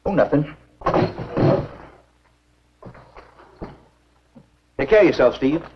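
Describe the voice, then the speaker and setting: An actor playing a shrewd older doctor, brisk and probing, in a 1950s B-movie. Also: male voice, on the high side, expressive, slightly rough. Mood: evasive. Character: shrewd, insistent older doctor, a grown woman's father. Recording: dull 1950s film soundtrack.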